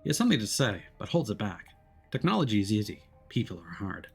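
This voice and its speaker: A man's voice: fairly low pitch, sing-song, quick pace, creaky, breathy edge, faint music behind. A man live-streaming a game, reading its story aloud expressively and dropping in casual asides. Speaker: streamer playing a visual novel.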